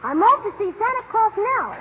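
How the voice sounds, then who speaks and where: A woman's voice: very high-pitched, sing-song, fairly quick. A woman playing an earnest, plucky little boy in a 1940s radio comedy. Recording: tinny 1940s radio recording with hiss.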